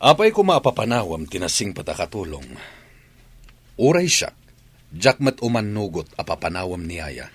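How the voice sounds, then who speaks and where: A man's voice: low voice, very animated, moderate pace, pauses often, breathy edge, no room sound, heavily acted. An actor playing a questioning, level-headed father and master of the house in a Filipino radio drama, with a Filipino accent. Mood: challenging.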